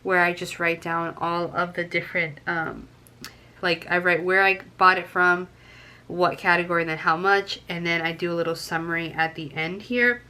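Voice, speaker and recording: A woman's voice: lowish voice, fairly even intonation, normal speed, sharp tone. A young woman with a stuffy nose from a cold, chatting casually to camera and counting cash aloud with frequent ums. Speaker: budgeting vlogger.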